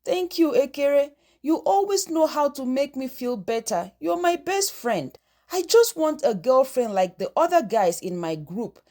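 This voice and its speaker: A woman acts out a teenage schoolboy in a storybook tale. He is plaintive, self-pitying and dismissive, with a high voice that swings up and down. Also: big pitch swings, measured pace, dark tone. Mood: complaining. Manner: plaintive complaining.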